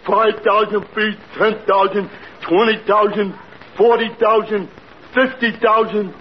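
An actor playing a dim-witted, good-natured teller of tall tales in old-time radio comedy, earnest and folksy. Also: male voice, high voice, flat delivery, unhurried. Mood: earnest, storytelling. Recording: muffled noisy vintage radio recording.

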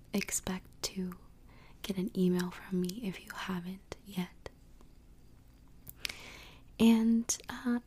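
A woman hosting an ASMR podcast in a soft, breathy whisper, speaking gently and without hurry into a close microphone. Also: mid-range voice, a little hiss or hum. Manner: gentle, slow, unhurried delivery. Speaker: podcast host.

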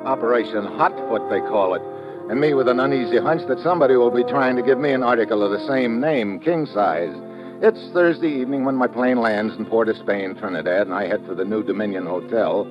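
An actor playing a wry, tough American government agent with an American accent, deadpan and wisecracking in hardboiled old radio drama style. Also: male voice, on the low side, brisk, close to an everyday voice. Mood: wry, narrating. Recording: dull old radio recording, music behind.

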